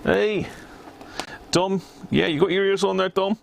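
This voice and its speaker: A man's voice: sits high, fairly even intonation, a little slow, gravelly, dry recording. A man hosting a casual hobby livestream, chatting matily and muttering to himself as he works at an electronics bench. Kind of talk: casual live chat while working at a bench.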